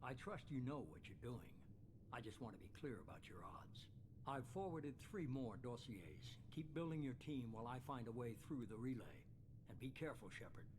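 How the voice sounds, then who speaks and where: A man acting a shadowy, commanding mastermind from a video game. He speaks in a cool, deliberate, measured way, with ruthless calculation. There is clear noise and ambience behind the voice. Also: medium pitch, very animated, natural voice. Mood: grave, commanding.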